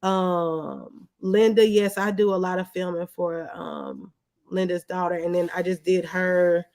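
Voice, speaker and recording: A woman's voice: lowish voice, lively intonation, measured pace, darkish tone. A woman talking casually as an interview guest, informal and colloquial in a reflective way. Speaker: guest, videographer.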